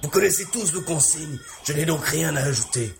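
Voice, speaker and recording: A man playing a calm, confident mercenary commander with an authoritative manner in a science fiction audio story. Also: a little high, a little fast, gravelly, music in the background. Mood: commanding.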